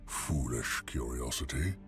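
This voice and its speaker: A man voicing an ancient, gruff, solitary demigod of the forge in a game trailer, speaking in a heavy, deliberate, brooding way. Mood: reflective, softening. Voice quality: very deep, heavy. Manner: slow, deliberate, brooding storyteller. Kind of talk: cinematic game trailer narration. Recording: music behind the voice.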